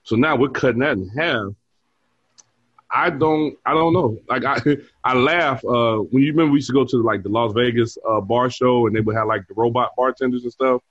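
A man's voice: mid-range voice, normal speed, fairly bright tone, dry recording, highs cut off. A man in his forties, a bar manager, chatting casually as an interview guest and telling stories with frequent laughs. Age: 40s. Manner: easygoing storyteller who laughs often.

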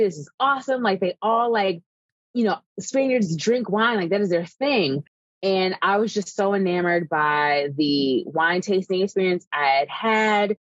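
A woman's voice: lowish voice, no room sound. Chatty woman on dull dry audio. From United States. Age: 30s. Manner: chatty, storytelling with many "like" and "um". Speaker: guest, wine writer. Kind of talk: casual podcast interview.

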